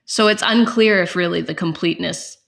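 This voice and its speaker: A woman's voice: lowish voice, fairly quick, creaky, fairly bright tone. A woman on a discussion panel, raising a point in a conversational, analytical style.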